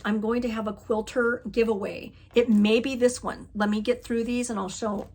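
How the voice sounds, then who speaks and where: A woman hosting a casual craft video, chatting enthusiastically as she shows off patterns and giveaway items, often laughing and exclaiming. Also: a little high. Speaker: flosstube host. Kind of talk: casual show-and-tell chat.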